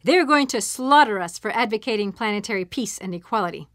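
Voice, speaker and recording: A woman's voice: mid-range voice, expressive, a little fast, a bit bright, no echo, clean studio sound, natural voice. A woman playing an alarmed, exasperated companion in a sci-fi audio comedy, questioning everything in disbelief. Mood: incredulous. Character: alarmed, incredulous female companion on a space adventure.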